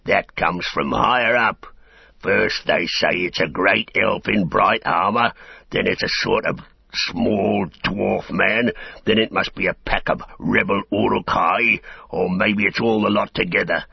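A man plays a brutish, menacing male orc soldier from a storybook. He snarls like a bully in a deep, raspy, creaking voice. The sound is muffled and roomy. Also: a little slow, heavily acted. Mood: gruff, surly. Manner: threatening, snarling.

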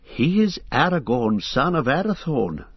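A man's voice: medium pitch, very animated, measured pace, breathy edge, no room sound, lo-fi sound, pitched above the performer's own voice, heavily acted. A man voicing an ancient, solemn elf lord in a stately, raised manner.

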